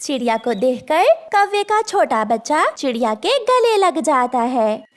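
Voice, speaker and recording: A woman's voice: high voice, big pitch swings, measured pace. A woman narrating a children's cartoon story as the storyteller.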